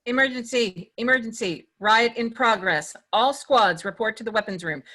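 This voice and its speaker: Automated sci-fi emergency announcer played by a woman: forceful, bright, formal alert delivery. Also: a little high, moderate pace. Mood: urgent alarm. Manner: repetitive, formal alert phrasing. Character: automated gender-neutral ship emergency announcement voice. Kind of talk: screenplay table read.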